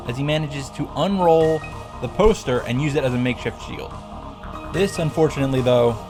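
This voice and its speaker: A man narrating a story recap in a casual, wry way with dramatic emphasis. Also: mid-range voice. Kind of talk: casual scripted narration of a visual novel recap. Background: background music.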